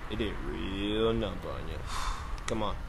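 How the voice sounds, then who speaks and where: An actor playing a loyal, easygoing barkeep who talks in hip slang in an old-time radio drama. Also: male voice, low voice, unhurried, creaky, noisy background. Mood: concerned. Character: loyal jazzy young barkeep, man.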